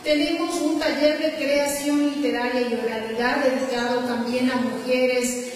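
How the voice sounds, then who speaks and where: A woman's voice: sits high, fairly even intonation, moderate pace. A woman giving a formal welcome speech and thanks as an event organizer. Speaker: festival organizer giving opening remarks. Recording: very echoey hall, distant mic, noisy.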